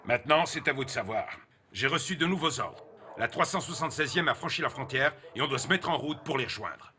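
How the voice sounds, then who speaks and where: A man's voice: mid-range voice, expressive, brisk, bright, forceful tone. A man playing a stern, businesslike army officer in a French-dubbed war film, clipped and authoritative.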